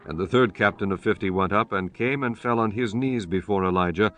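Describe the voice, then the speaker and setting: A man's voice: fairly low pitch, brisk, a bit bright. A man narrating a dramatized scripture audiobook in a solemn, formal, scripted style. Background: music.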